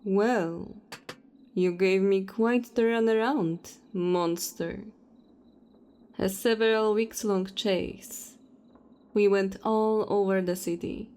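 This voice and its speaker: A woman's voice: medium pitch, warm tone, slight background noise. A woman playing a cold, self-righteous vampire hunter in an audio roleplay, taunting and contemptuous. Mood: smug, taunting.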